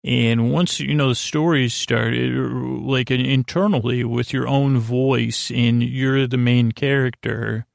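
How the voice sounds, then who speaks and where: A man hosting a sleep podcast, rambling in a halting, meandering way with self-deprecating, mildly silly wordplay. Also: medium pitch, little pitch movement, gravelly, no echo, clean studio sound. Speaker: podcast host. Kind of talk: rambling bedtime monologue, loose storytelling.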